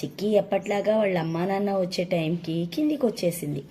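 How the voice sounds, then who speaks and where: A woman's voice: mid-range voice, quick pace, dark tone. A woman narrating a children's story as a storyteller. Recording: faint background noise.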